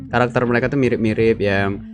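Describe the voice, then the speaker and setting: A man's voice: mid-range voice, fairly even intonation, quick pace, soft background music. A young man hosting a casual fan-commentary video, chatty and slangy, full of fillers and tag questions. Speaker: YouTube channel host.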